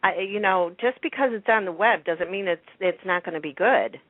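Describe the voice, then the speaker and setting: A woman's voice: mid-range voice, moderate pace, dry recording. A woman talking casually as a panelist on a talk show, speaking over the telephone. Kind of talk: casual conversation. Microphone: telephone call. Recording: narrow, tinny telephone line.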